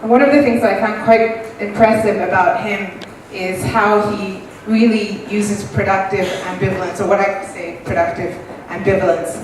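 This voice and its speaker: Woman guest speaker, reflective with many ums, mid-pitched, heard from across a very echoey, noisy room. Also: steady tone, moderate pace, hardly any pauses, far from the mic. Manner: thoughtful, with frequent ums. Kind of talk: public talk.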